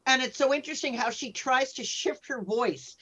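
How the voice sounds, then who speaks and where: A woman speaking as a podcast panelist in an unscripted discussion, chatty and appreciative, a little halting as she explains. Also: high voice, a bit bright, husky.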